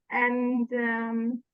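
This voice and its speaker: A woman's voice: fairly high pitch, clear, resonant tone. Hesitant German woman on a tinny line. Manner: hesitant, many ums, apologetic about her English. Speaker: interview guest, past client. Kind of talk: casual interview.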